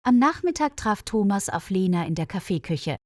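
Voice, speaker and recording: A woman's voice: mid-range voice, very animated, moderate pace, dry recording. Woman narrating with a dry, close sound. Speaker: storyteller for German learners. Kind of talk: scripted audiobook narration.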